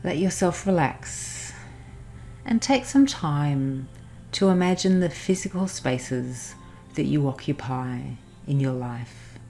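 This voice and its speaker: A woman narrating a guided meditation in a soothing, instructional manner with pauses. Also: low voice, expressive. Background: soft background music.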